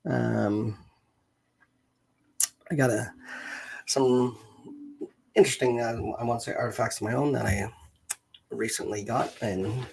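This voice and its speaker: A man hosts an unscripted live stream in a gravelly, husky voice. His pitch sits in the middle for a man. He talks hesitantly, often pausing to find the next word. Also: steady tone, a little mellow. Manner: halting, hesitant, searching for words.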